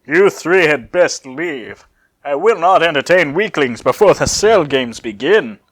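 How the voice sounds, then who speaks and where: A man's voice: a little high, animated, unhurried. A man voicing a vain, theatrical male android villain with a pompous, contemptuous manner. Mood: haughty.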